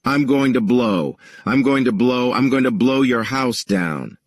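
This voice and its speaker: Storybook big bad wolf, played by a man: menacing, boastful, taunting, mid-pitched, dry recording. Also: expressive, unhurried, no room sound, lightly acted. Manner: taunting, repetitive threats. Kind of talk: children's story acting.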